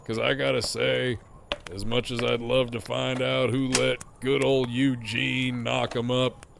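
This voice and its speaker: An actor playing a mocking, foul-mouthed middle-aged antagonist with a sardonic, needling drawl in an audio drama. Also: male voice, mid-range voice, little pitch movement, unhurried, vocal fry, noisy background. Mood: taunting, amused.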